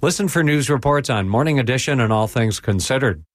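A man reading a fast, clipped station underwriting announcement. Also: medium pitch, expressive, no echo, studio quality. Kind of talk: brisk underwriting read.

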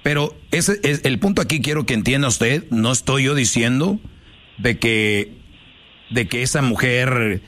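A man's voice: mid-range voice, expressive, a little slow, a bit bright. Male talk host for a phone-in program: opinionated, informal, street-slangy and direct, ready to push back. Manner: blunt, confrontational, slangy. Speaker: talk show host taking calls.

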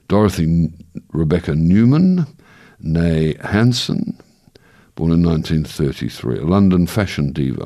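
A man reading out death notices, slowly and plainly, stumbling over names and correcting himself as he goes. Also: a bass voice, creaky, no room sound, clean studio sound. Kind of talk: reading obituary notices aloud.

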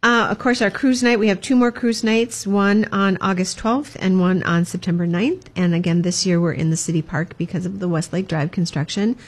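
A woman's voice: mid-range voice, moderate pace, hardly any pauses. A woman from the chamber of commerce giving upbeat community announcements, with plenty of ums. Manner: upbeat, filler-heavy, promotional. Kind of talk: community announcements in casual chat.

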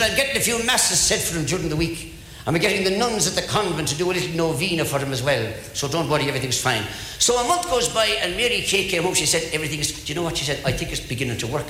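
Brisk Irish comedian in an echoing hall. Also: male voice, on the high side, bright, forceful tone. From Ireland. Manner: repeats phrases, drawn-out storyteller delivery. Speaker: stand-up comedian. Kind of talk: stand-up comedy storytelling, telling jokes to an audience. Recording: echoey hall, faint background noise.

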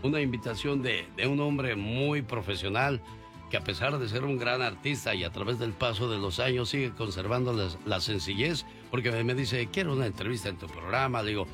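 A man's voice: mid-range voice, fairly quick, over music. A man hosting a morning radio show, interviewing a guest in a formal announcer's manner and addressing the listeners directly. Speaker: radio host.

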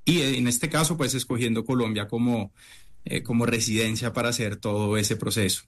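A middle-aged man from Italy, a chef, answering interview questions in a halting way, full of fillers and restarts. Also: medium pitch, steady tone. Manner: hesitant, many fillers and restarts.

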